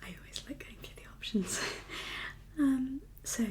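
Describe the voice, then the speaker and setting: A woman whispering an ASMR medical roleplay as a kindly doctor, gentle and reassuring in an unhurried, professional way. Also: high-pitched. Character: kindly doctor for undead patients. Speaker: ASMR performer playing a doctor.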